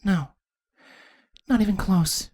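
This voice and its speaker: Woman voicing a very high, anxious young princess. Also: very animated, moderate pace, exaggerated voice. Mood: grim. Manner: urgent, anxious. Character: young changeling princess, earnest, worried daughter of queen.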